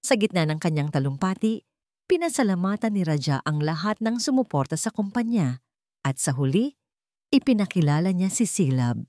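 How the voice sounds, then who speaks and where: A woman narrating a dramatic family story in a slow, scripted storytelling read. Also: fairly low pitch, dry recording, clean studio sound. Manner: slow, dramatic, even reading.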